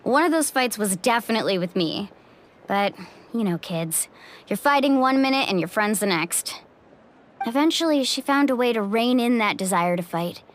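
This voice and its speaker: A woman's voice: on the high side, expressive, moderate pace, a little hiss or hum, lightly acted. An actress playing an easygoing, confident young woman in a video game, talking in a casual, reflective way. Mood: reminiscing.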